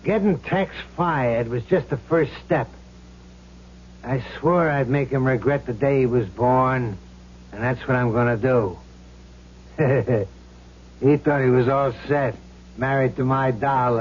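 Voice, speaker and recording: An actor playing a brash, vengeful ghost of a gangster in an old radio drama, bossy and sneering, on a muffled, noisy recording. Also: male voice, medium pitch, slow pace, darkish tone, natural voice. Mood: gloating, menacing. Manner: brash, bossy, sneering tough-guy slang. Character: cocky vengeful ghost gangster possessing another man's body.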